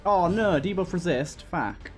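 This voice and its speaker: Higher-pitched man commentating over game music. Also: expressive, a little slow. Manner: thinks aloud, repeats himself, swears. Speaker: let's play host. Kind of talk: casual video game commentary.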